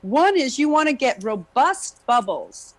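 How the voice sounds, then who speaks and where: A woman's voice: high-pitched, very animated, measured pace. Woman explaining singing technique on a call. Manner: explains at length with frequent "you know". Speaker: guest jazz singer and vocal coach. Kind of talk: casual expert chat.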